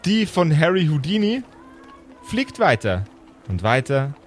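A man leads an improvised tabletop story as game master. His voice is fairly high and very animated, and he takes his time with dramatic pauses. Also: slight background noise. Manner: theatrical, pausing for effect. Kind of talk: improvised pen and paper storytelling.

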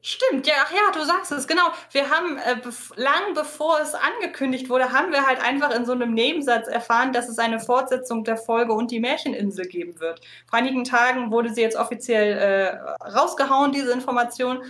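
A woman's voice: sits high, fairly quick, few pauses, a bit bright. A woman chatting casually as a podcast guest, talking in a chatty, enthusiastic way. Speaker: podcast guest, fan podcast co-host. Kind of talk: casual interview chat.

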